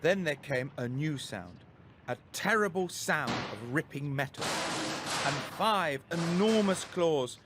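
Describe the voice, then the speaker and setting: A male storyteller reads a children's tale with drama. His fairly high voice swings widely and moves slowly, over clear background noise. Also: lively intonation.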